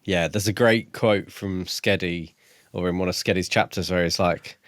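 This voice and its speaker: A man co-hosting a podcast, discussing a book casually in measured, tentative phrases. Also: low-pitched, fairly even intonation, dry recording. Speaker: podcast co-host.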